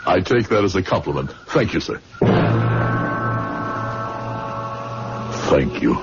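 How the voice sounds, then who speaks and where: An actor playing an earnest, proud and hot-tempered young medical student with a formal manner, in an old-fashioned radio drama. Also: male voice, low voice, sing-song, a little fast. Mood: eager. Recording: dull radio recording, loud music.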